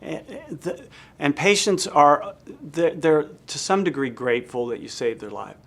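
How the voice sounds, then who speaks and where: A man's voice: a little high, unhurried, vocal fry, breathy edge. A man speaking as a surgeon in an unscripted interview testimonial. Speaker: weight loss surgeon.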